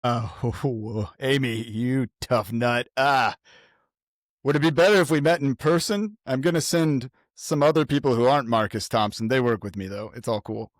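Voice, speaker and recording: A man playing a glib, pushy male government agent on a phone call in a tabletop game, halting yet breezily familiar. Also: mid-range voice, dry recording, studio quality, lightly acted. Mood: breezy, evasive.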